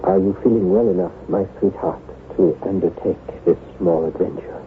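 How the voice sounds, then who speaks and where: An actor playing an anguished, devoted nobleman husband, formal, grave and halting, in old-time radio drama style. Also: low voice, fairly even intonation, natural voice. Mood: tender, tense. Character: anguished, haunted nobleman husband devoted to his ailing wife. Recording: noisy, narrow-band vintage radio broadcast.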